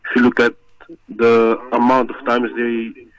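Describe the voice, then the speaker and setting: A man from the Netherlands giving his sports opinion as a caller to a live show, in measured, halting phrases. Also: medium pitch, completely flat delivery, rough recording. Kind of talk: phone-in opinion.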